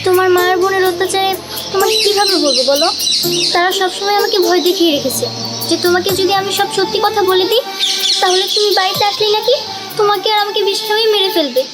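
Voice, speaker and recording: A woman's voice: extremely high pitch, steady tone, fast talker, loud music behind the voice. A woman voicing a long-suffering, devoted young wife in a cartoon drama, earnest and pleading as she explains. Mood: distressed.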